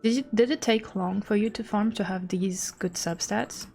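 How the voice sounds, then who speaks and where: A woman's voice: medium pitch, normal speed, gravelly, music in the background. A woman game streamer chatting casually as she thinks aloud, rambling and a little self-deprecating. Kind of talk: casual livestream chat and commentary.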